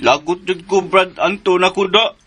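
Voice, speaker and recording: A Filipino man in a radio drama voicing a simple-minded, childlike man, petulant and innocent, in halting babyish speech. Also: high-pitched, steady tone, a bit bright, faint music behind. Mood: sulky, tattling. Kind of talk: Philippine radio drama acting.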